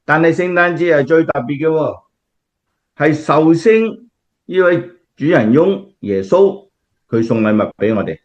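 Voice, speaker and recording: A man's voice: a little high, animated, a little slow. A man with a Cantonese accent playing a kindly, devout grandfather who explains things patiently in a scripted radio drama. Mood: kindly, explanatory. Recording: dull, lo-fi audio.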